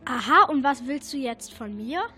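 A woman's voice: sits high, expressive, normal speed, pushed and bright, soft background music. A young female performer playing an impatient, easily exasperated schoolgirl in a children's radio play. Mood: annoyed, curious.

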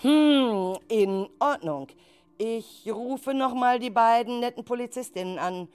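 A woman's voice: fairly high pitch, takes it slowly, darkish tone, smooth, soft background music. A woman hosting a children's radio play, talking to the young cast as playmates. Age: adult. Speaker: host and leader of a school radio-play group.

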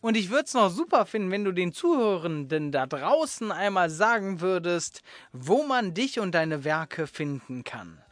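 A man's voice: high voice, sing-song, unhurried, dry recording. Informal male podcast host, dry close sound. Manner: informal, slangy and encouraging. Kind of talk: casual interview chat with quick-fire questions.

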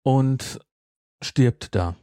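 A man hosting a podcast in casual chat, with a halting, self-interrupting way of talking. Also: medium pitch, mellow, no echo, clean studio sound. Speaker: podcast host. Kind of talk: casual chat, retelling a story.

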